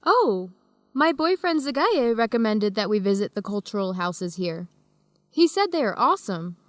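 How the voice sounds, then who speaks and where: Woman acting young female student, friendly, joking, highly varied intonation, radio drama, dry studio sound. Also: very animated, normal speed, no room sound, lightly acted. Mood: chatty. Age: young adult. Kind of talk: radio drama dialogue for English learners.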